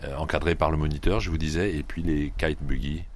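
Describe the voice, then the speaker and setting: A man from the Paris region, a club head being interviewed on radio, who pauses often with fillers and restarts. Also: very deep voice, steady tone. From Paris region, France. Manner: hesitant, many fillers and restarts. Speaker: guest, sand yachting club head.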